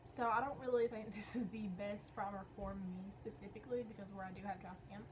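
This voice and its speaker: Young woman, a bit hoarse, rambling chatty makeup talk, noisy home audio. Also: mid-range voice, fairly even intonation, a little fast. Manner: rambling, chatty, lots of fillers. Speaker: beauty vlogger. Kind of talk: casual makeup tutorial talk-through.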